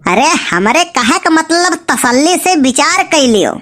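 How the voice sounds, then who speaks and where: A woman plays a cartoon village matchmaker with a very high, sharp voice and an Awadhi accent. The figure is cheeky and stubborn, always coaxing and pressing in colloquial comic banter. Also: big pitch swings, unhurried, heavily acted. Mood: coaxing, insistent.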